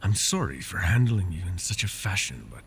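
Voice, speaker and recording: A man voicing a wounded male dragon who keeps a human captive, soft-spoken and polite, often slipping into whispers, for an ASMR fantasy roleplay. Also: sits low, sing-song, a little fast, noisy background. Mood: apologetic. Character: wounded male dragon, possessive yet doting captor.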